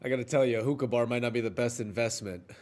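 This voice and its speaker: A man's voice: mid-range voice, fast, a little mellow. A man chatting casually about sports as the second voice on a podcast. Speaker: podcast co-host or producer.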